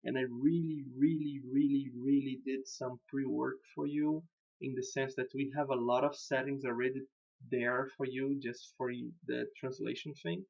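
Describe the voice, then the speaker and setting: Gravelly-voiced Portuguese-native man explaining. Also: mid-range voice, fairly even intonation, moderate pace, a little mellow, lo-fi sound. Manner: informal, repeats words, laughs at himself. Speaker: web designer. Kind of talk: casual screen-recorded walkthrough.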